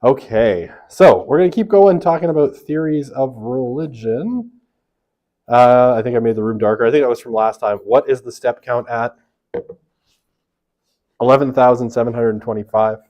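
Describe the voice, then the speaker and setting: A man's voice: medium pitch, moderate pace. A man teaching a university sociology lecture in a classroom through a room microphone, casual and chatty, with a big voice, lots of fillers and tag questions. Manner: self-described big, loud voice. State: half asleep from early rising. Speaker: sociology instructor.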